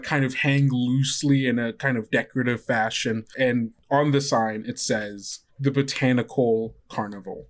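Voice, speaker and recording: A man narrating a tabletop role-playing game in a casual way as game master. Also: medium pitch.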